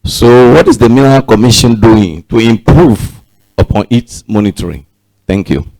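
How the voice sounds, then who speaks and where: A man's voice: medium pitch, normal speed, a little mellow, no echo, rough recording. A man from the audience asking the panel a direct, earnest question. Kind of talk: question from the floor.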